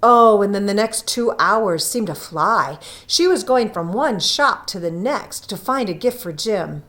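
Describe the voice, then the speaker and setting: Slow, highly expressive woman storyteller. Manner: unhurried, dramatic emphasis with drawn-out phrases. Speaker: audiobook reader. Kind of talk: expressive scripted storytelling narration.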